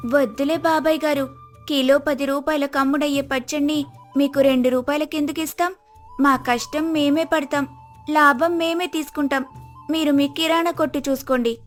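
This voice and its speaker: A woman's voice: high-pitched, steady tone, moderate pace, soft background music, natural voice. A woman voicing a brave, outspoken young daughter-in-law in a moral story, with a firm, defiant manner.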